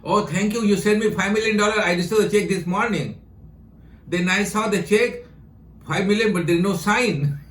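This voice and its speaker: A man's voice: high voice, normal speed, fairly bright tone, roomy sound. A man hosting a video call as a spiritual teacher, joking playfully in broken English and dropping in Spanish phrases. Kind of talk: casual chat.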